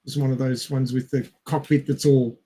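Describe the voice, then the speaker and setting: A man with a medium-pitched voice talks casually as a podcast co-host. He pauses and searches for his words as he explains things, speaking into a headset. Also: little pitch movement, dark tone, slightly rough. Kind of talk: casual film podcast chat.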